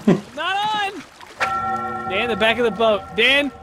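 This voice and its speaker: A man in excitable, shouty banter while gaming with friends. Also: very high voice, a little slow. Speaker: gamer in a group playthrough. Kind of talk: casual gameplay banter. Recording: drowned in very loud game music. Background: very loud game music.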